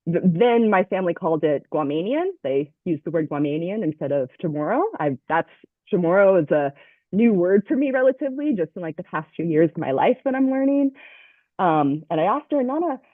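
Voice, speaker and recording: A woman's voice: fairly low pitch, animated, fairly quick, dry recording. A young woman from Southern California introducing herself on a video call, hesitant with many ums. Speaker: language class student. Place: home. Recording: dry tinny phone-like call audio.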